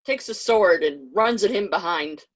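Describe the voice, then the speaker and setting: A woman's voice: lowish voice, lively intonation, normal speed. A woman reading out stage directions as a play's narrator, in a neutral style.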